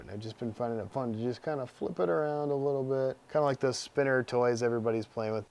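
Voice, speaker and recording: Man, thirties, hoarse with a cold, relaxed and rambling, mid pitch, home workshop. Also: no echo. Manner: relaxed, rambling, with many ums and uhs. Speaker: 3D printing YouTuber. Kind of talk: casual show-and-tell.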